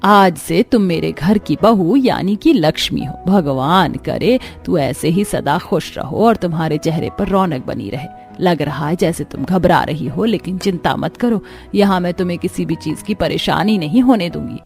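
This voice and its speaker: A kindly middle-aged mother-in-law from a cartoon family drama, played by a woman narrator. She is patient and gentle. Her mellow voice reassures at every turn. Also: lowish voice, expressive, normal speed, gravelly, few pauses, soft background music. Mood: welcoming. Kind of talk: cartoon story dialogue.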